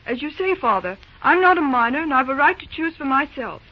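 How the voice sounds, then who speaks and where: A woman's voice: sits high, animated, fairly quick. An actress playing a spirited, determined young woman in an old radio drama, recorded with a muffled sound. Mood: defiant. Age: young adult. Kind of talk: 1940s radio drama acting.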